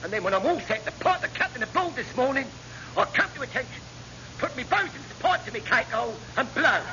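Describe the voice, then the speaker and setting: An actor playing a hapless, roguish navy chief petty officer who rambles in cheeky, colloquial fashion in a classic radio sitcom. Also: male voice, very high voice, very animated, fairly quick, creaky, sharp tone, heavily acted. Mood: aggrieved, baffled. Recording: muffled, noisy old radio comedy recording.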